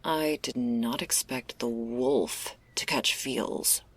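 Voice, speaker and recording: A woman playing a laid-back, restless stoner werewolf in an intimate ASMR roleplay, husky and gravelly, confiding in a casual, hesitant, slangy way. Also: very deep voice, slow, full character voice.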